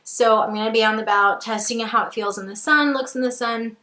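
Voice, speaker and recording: A woman's voice: on the high side, fairly even intonation, fairly quick, pushed and bright. A woman in her twenties chatting through a makeup review, enthusiastic and a bit sniffly from a cold, with frequent ums. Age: 20s. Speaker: beauty vlogger. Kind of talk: casual makeup review chat. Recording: echoey room.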